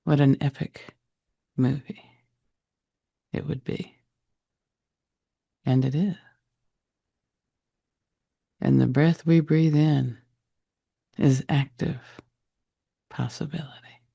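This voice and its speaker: A man leading a guided meditation in a soft, breathy, husky voice, speaking deliberately in short phrases with long pauses. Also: a little high, steady tone. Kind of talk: guided meditation, slow soothing narration.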